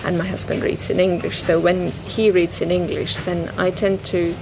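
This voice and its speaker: A woman whose first language is Estonian speaks thoughtfully as a guest, pausing often and restarting her sentences. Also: on the low side, level tone, vocal fry. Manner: thoughtful, hesitant, many restarts and "you know". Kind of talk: reflective conversation about a poem. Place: bookshop, indoors. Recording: noisy public bookshop.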